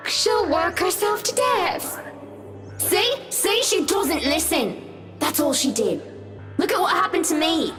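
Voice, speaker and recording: A woman's voice: very high voice, expressive, takes it slowly, fairly bright tone, heavily acted. A woman voicing a sly, cruel apparition in a visual novel, with a teasing, theatrical, mock-sweet delivery. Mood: scornful, mocking. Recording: long reverb with music behind.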